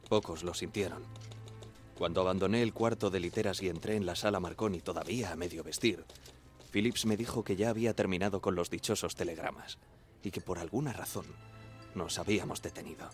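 Actor as a low-voiced, sombre male wireless operator. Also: faint music behind, lightly acted. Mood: sombre recollection. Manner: measured, deferential, hesitant. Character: young deferential ship's wireless operator, sombre survivor. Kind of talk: radio drama testimony and recollection.

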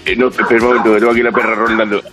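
Man acting gluttonous anxious housewife, rapid chatter, Spanish accent, folksy endearments, radio caller sketch, background music. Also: on the low side, flat delivery, lightly acted. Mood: wheedling, chatty. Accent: Spain. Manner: wheedling, folksy, calls people dear. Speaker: listener phoning a radio show.

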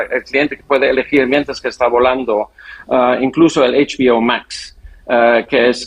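A man with a foreign accent in non-native Spanish, answering an interview haltingly and searching for words. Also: mid-range voice. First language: not Spanish. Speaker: interview guest, airline executive.

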